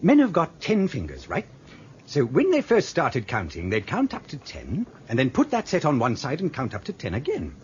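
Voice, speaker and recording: A man's voice: medium pitch, huge pitch swings, moderate pace. An actor playing a fussy, grumbling old British man who rambles and explains pedantically in a radio drama. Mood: pedantic, explaining. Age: older. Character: fussy, grumbling old man, former trainee computer operator. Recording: dull lo-fi radio recording with hiss.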